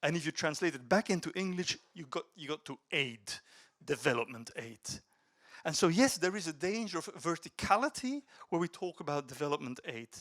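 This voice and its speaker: A man's voice: on the high side, lively intonation, a little fast, a bit bright. A middle-aged man giving a talk to an audience, telling an anecdote and animatedly quoting dialogue before reflecting on it. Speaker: speaker at a youth debate.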